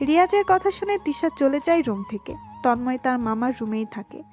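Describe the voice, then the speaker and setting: High-voiced woman narrating over music. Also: animated, a little fast, darkish tone. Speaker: story narrator. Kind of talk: scripted story narration.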